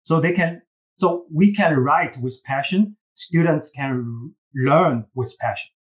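Higher-voiced man with a Chinese accent. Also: highs cut off. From China. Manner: earnest, halting with repeated words, often ends points with "right?". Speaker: business school professor and case writer, podcast guest. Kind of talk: interview answers.

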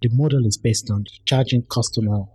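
A man's voice: medium pitch, fairly even intonation, fairly quick, a little mellow, breathy edge, no echo. A man with a Nigerian Yoruba accent hosting an interview, asking questions and restating his guest's answers to check them. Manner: probing, restates guest's points. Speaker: podcast host. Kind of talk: conversational interview.

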